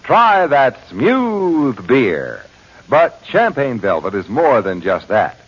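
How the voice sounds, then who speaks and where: A man's voice: mid-range voice, huge pitch swings, slow, slightly rough. A man announcing a commercial in a 1940s radio advertising style. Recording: dull old radio recording.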